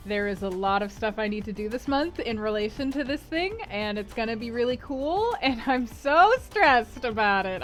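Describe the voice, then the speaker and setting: A woman hosting a live-streamed book club, chatting casually with her viewers in a rambling way and laughing often. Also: mid-range voice. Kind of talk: casual chat with live chat before a stream reading.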